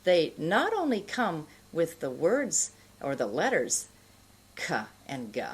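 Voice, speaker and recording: A middle-aged woman with an American accent giving an instructional pronunciation lesson, enunciating carefully and deliberately with pauses between words. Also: low voice, huge pitch swings, breathy edge. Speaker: pronunciation teacher. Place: indoor studio.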